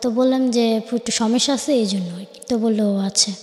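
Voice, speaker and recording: A 19-year-old woman with a Bangladeshi accent tells her life story informally, halting and correcting herself as she relays conversations. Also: on the high side, fairly even intonation, a little mellow. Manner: halting, self-correcting, acts out reported dialogue. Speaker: guest telling life story. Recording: echoey, reverberant room.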